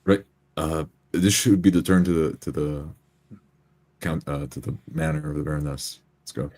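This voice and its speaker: Very deep-voiced man roleplaying. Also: moderate pace, a little mellow. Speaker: player in a tabletop roleplaying game. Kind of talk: in-character roleplay and describing actions.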